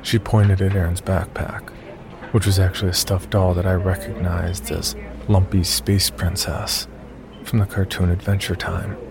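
A man narrating a scripted first-person horror story in a measured storytelling delivery. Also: deep voice, level tone, creaky, over music.

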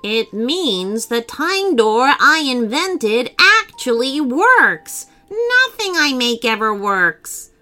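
A nervous robot inventor played by a woman in a children's storybook, self-pitying and apologetic. The pitch swings widely and the words are drawn out, full of pleading drama, with music behind. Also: sing-song, slow, hardly any pauses, sharp tone, full character voice. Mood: uneasy, amazed.

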